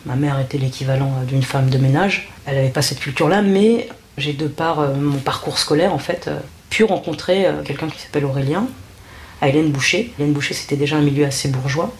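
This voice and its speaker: A woman's voice: sits low, a little hiss or hum. Thoughtful French woman, hesitant speech. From France. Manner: thoughtful, measured, with frequent hesitations. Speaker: journalist, interviewee. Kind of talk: reflective interview testimony.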